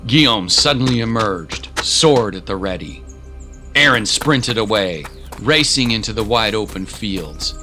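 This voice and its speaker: A man's voice: lowish voice, lively intonation, a little slow, pushed and bright. A man narrating a scripted adventure story in a dramatic style. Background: music.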